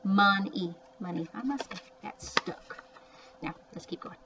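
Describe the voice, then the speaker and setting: A woman's voice: mid-range voice, a little slow. A woman teaching young children to read, sounding out and repeating words with chatty asides, in a word-by-word phonics lesson. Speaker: early reading teacher. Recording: distant mic, muffled, faint background noise.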